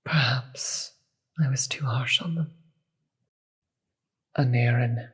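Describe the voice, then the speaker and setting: Casting: demon queen for audio drama, acted out by one performer, very breathy and husky, slow, commanding yet weary. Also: medium pitch, fairly bright tone, exaggerated voice. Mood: weary, regretful. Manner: slow, deliberate, grave. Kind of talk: dramatic audio roleplay acting.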